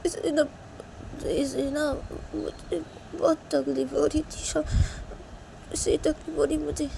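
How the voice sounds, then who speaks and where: Woman acting tearful, high-pitched pleading young woman. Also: little pitch movement, takes it slowly, creaky, warm tone, a little hiss or hum, exaggerated voice, poor audio. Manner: whimpering, repetitive pleading. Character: hurt, tearful, clinging young woman pleading with her beloved.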